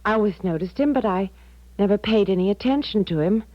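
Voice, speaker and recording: An actress as a young American woman in an old radio play, torn and easily flustered. Her voice sits at an ordinary female pitch, halting and stammering. The sound is dry and slightly dull, like a seventies broadcast. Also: expressive, no echo, natural voice. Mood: confiding. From American Midwest. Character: hesitant, torn young engaged American woman seeking advice. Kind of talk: 1970s radio drama acting.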